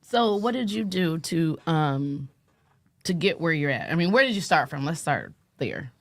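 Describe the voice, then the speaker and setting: A woman's voice: sits low, very animated, a little slow, no room sound. A woman hosting a podcast, asking questions in a teasing, prompting way. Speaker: podcast host. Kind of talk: casual interview.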